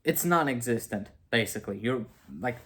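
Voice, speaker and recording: A man's voice: mid-range voice, expressive, moderate pace, a bit bright. A young man with a Romanian accent, talking casually to camera about philosophy, rambling and self-mocking with frequent asides. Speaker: video creator.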